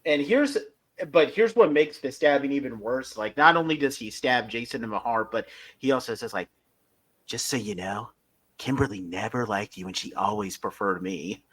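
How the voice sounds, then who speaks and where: A man with a bright, forceful voice and an average pitch talks through a story as a podcast co-host. His delivery is casual and explanatory, halting between phrases and leaning hard on key words. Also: lively intonation. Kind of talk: casual review recap.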